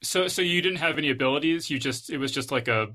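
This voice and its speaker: A man's voice: on the high side, quick pace, bright, forceful tone. A man hosting a podcast, chatting casually with his guests in a conversational interview style. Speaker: podcast host.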